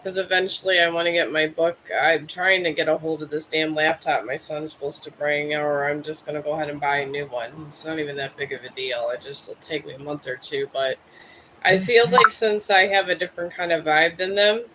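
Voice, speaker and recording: A man in his fifties from Ohio, talking to his live stream viewers in a high, bright voice. He rambles and muses, pokes fun at himself, and sounds a bit high. A faint hum of room noise sits under him. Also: fairly even intonation, fairly quick, low-quality recording. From Cleveland, Ohio. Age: 50s. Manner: rambling, musing, self-deprecating.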